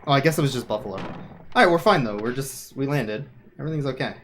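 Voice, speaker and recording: A man's voice: mid-range voice, animated, fast, a bit bright, slight background noise. A man giving casual, wry, offhand commentary on a live video game speedrun. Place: live gaming event stream with game music behind.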